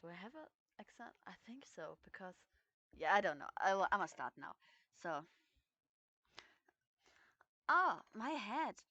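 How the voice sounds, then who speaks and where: A woman's voice: lowish voice, expressive, brisk, fairly bright tone, no room sound. A young woman with a German accent chatting hesitantly between voice recordings, with many fillers. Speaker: amateur voice actor. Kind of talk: casual recording vlog.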